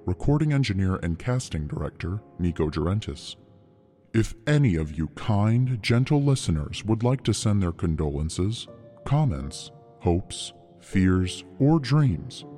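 Music plays clearly behind a man with a deep voice. He reads scripted end credits as the announcer of an audio drama. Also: dark tone.

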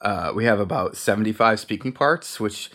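Low-voiced man chatting in an interview. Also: normal speed, fairly bright tone. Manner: chatty, rambling, self-correcting. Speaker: podcast co-creator, interview guest. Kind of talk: casual interview answers.

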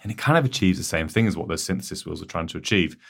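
A man in a casual technical chat, relaxed and hedging with "you know" and "like" as he explains his testing. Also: deep voice, very fast, creaky. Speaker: technical editor, wheel tester.

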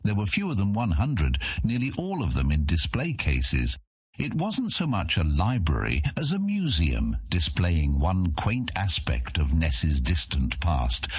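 A man narrating a fantasy audiobook in an expressive, scripted storytelling style. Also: low-pitched, breathy edge, dry recording, studio quality.

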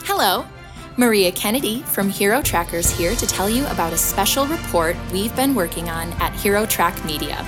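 Actress as lady reporter doing a polished podcast trailer read, mid pitch, music clearly behind. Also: animated, normal speed, close to an everyday voice. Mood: composed, intriguing. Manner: polished, presenterly. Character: woman investigative reporter announcing a special report. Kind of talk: scripted podcast trailer narration.

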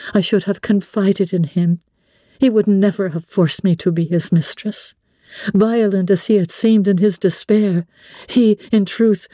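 A woman reading classic literature as a dramatic scripted narration, in a measured, expressive, literary manner. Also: mid-range voice, no echo, studio quality. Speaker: audiobook narrator.

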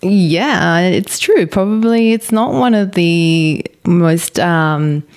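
A woman in her early forties co-hosting a podcast, chatting in a thoughtful way with frequent pauses and fillers. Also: on the low side, darkish tone. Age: 40s. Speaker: podcast co-host. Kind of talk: casual chat.

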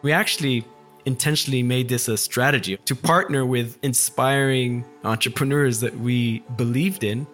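A man in his forties from Ethiopia, a company CEO appearing as a guest, telling his story in a relaxed, reflective way with occasional fillers. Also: medium pitch, normal speed, faint music behind. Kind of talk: conversational storytelling.

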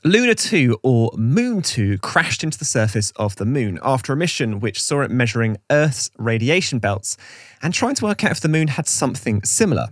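A man presents a podcast from a script in a dry, close-sounding recording. His voice sits at a middling pitch. He slips chatty, wry asides into the presenting. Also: sing-song, moderate pace, no echo. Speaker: podcast co-host.

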